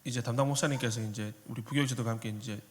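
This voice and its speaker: A man's voice: mid-range voice, fairly even intonation, very fast. Pastor in his thirties with a faint Korean regional dialect, easy joking delivery, sanctuary reverb. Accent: slight Korean regional dialect. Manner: joking, conversational preaching. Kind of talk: sermon. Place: church sanctuary. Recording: long hall reverb.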